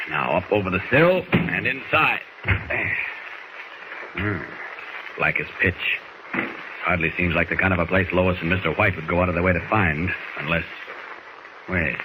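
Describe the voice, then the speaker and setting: An actor playing a brisk, commanding hero in a 1940s radio drama. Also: male voice, low-pitched, close to an everyday voice. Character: confident, brisk male hero, commanding and impatient. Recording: noisy 1940s radio recording.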